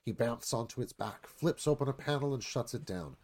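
Mid-pitched man narrating a screenplay. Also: animated, fairly quick, darkish tone. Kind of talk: reading screenplay directions in live radio theatre.

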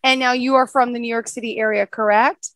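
A woman hosting a podcast interview, effusive and gushing, with warm endearments for her guest. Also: fairly high pitch, steady tone, brisk, dry recording. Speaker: podcast host. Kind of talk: interview chat.

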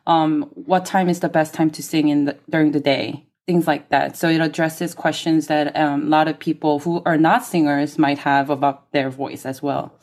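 Woman, singer, Korean native, slightly low voice, thoughtful, many ums. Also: steady tone, normal speed, few pauses, a little mellow. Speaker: guest singer. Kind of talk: interview conversation.

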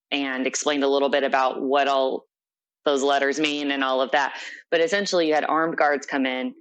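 A woman's voice: very deep voice, low-quality recording. A young adult woman being interviewed, telling a personal story in an earnest, reflective way. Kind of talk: personal account in conversation.

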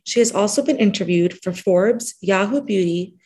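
A woman narrating a scripted podcast introduction in a measured way. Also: mid-range voice, warm tone, a little hiss or hum. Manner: measured, reading a guest introduction.

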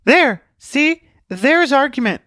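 A man voicing an excitable, pedantic young female character in a storybook, insistent in manner. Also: high voice, fairly quick, dry recording, studio quality, pitched above the performer's own voice. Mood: excited, insistent.

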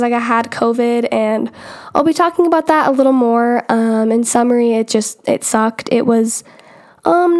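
A woman's voice: sits high, a little mellow. A young woman hosting her own podcast, talking casually with lots of fillers and restarts while getting over an illness. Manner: chatty, rambling, many "um" and "like" fillers. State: recovering from illness, congested. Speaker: podcast host. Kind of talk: casual solo podcast chat.

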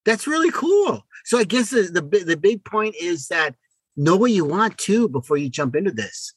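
A man's voice: high-pitched, big pitch swings, moderate pace, breathy edge, no echo. A man co-hosting a podcast in casual conversation, self-assured and emphatic, often repeating his words. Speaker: podcast co-host.

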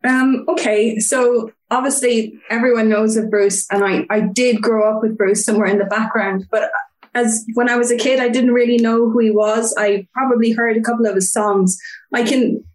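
A young woman with an Irish accent tells stories in an easy, chatty way, sprinkling in ums. Her voice sits a little high. The room around her is noticeably echoey. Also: steady tone, moderate pace, darkish tone. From Ireland (Cork). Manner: chatty, storytelling with filler ums. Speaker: guest fan. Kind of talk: casual interview chat.

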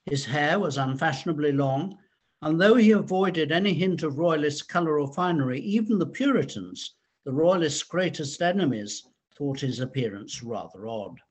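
Male narrator for scripted history, voice a touch high and rough-edged, measured storyteller's delivery.

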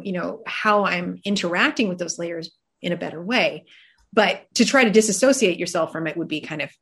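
A woman speaks in a reflective, conversational way. Her voice is a little low for a woman and slightly husky. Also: fairly bright tone. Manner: reflective, conversational, light laughs. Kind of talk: casual discussion.

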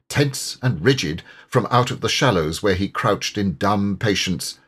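Guy reading a classic storybook audiobook, lowish husky voice, expressive and measured. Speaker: audiobook narrator. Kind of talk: expressive literary storybook narration.

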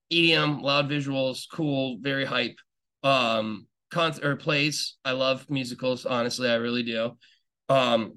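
A man's voice: medium pitch, a bit bright. A young man hosting a podcast, talking in a casual, rambling monologue full of fillers and restarts, with an enthusiastic fan's tone. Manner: enthusiastic fan talk. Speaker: podcast host.

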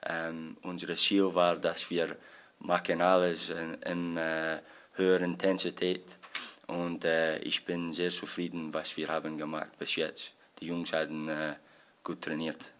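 Man, Scottish accent, English native speaker, halting German, low voice, flat delivery, press conference. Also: clean tone. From Scotland. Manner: halting German with English slips and many fillers. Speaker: assistant football coach.

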